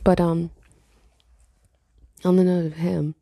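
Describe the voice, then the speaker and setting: A woman's voice: level tone, slow pace, dark tone, no echo, studio quality. A young woman hosting her casual solo podcast, sounding congested and stuffy, rambling and laughing at herself as she talks. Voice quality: low husky morning voice. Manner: rambling, laughs often, self-mocking. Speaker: podcast host.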